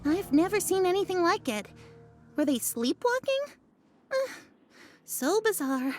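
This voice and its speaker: A woman's voice: extremely high pitch, sing-song, a little slow, soft background music, full character voice. A woman voicing a puzzled young village woman in a video game. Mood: bewildered.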